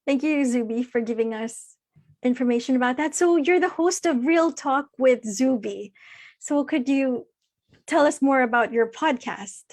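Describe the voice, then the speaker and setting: Woman, high voice, interview host, polite and formal. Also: a little slow, a little mellow. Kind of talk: scripted interview questions.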